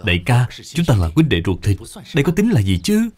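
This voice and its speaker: A man's voice: mid-range voice, wildly swooping pitch, a little fast, darkish tone. A man voicing a devoted, anxious official and father in a dubbed cartoon, speaking with earnest concern. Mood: reassuring. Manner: earnest, solicitous.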